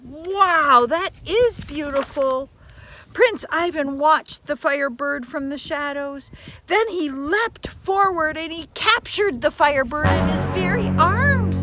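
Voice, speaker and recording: High-pitched older woman reading a children's story. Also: rough recording. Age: older, grandmother. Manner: theatrical, slow and deliberate, drops into whispers, repeats words for emphasis. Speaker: storyteller and primary teacher. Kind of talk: dramatic children's story reading with personal asides.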